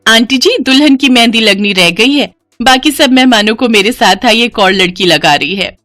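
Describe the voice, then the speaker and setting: Woman acting helpful bright-voiced henna artist. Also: on the high side, lively intonation, normal speed, no echo.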